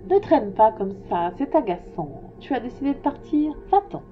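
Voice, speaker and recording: A storybook flower, acted out by a woman reader, vain and fragile. She speaks with tender pride and a touchy edge, keeping her sorrow hidden. Soft music plays behind her. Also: mid-range voice, moderate pace, dull audio, natural voice. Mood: impatient. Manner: coquettish, proud, hiding sorrow.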